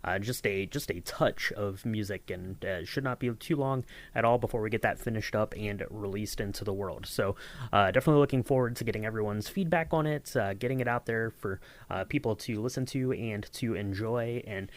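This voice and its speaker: A man's voice: fairly low pitch, brisk, no room sound. A man co-hosting a podcast in a casual behind-the-scenes chat, rambling with lots of fillers and restarts. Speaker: podcast co-host.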